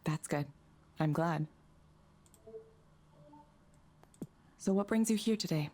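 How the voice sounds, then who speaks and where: Mellow, polite female AI counselor. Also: deep voice, moderate pace. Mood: neutral, polite. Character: polite, scripted AI counselor, neutral, soothing, female. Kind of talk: video game voice acting.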